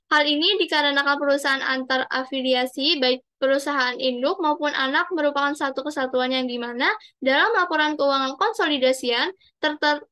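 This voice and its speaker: A woman's voice: high-pitched, pushed and bright. A young woman reading out worked answers as a student presenter, formal with small stumbles. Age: young adult. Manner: formal, read-aloud delivery with small stumbles.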